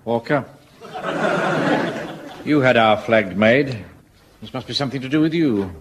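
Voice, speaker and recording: An actor playing a pompous, blustering middle-aged English Home Guard captain in a radio sitcom, with clipped speech. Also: male voice, mid-range voice, unhurried, close to an everyday voice. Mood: exasperated. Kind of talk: 1970s British radio sitcom acting. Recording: old radio comedy with background hiss.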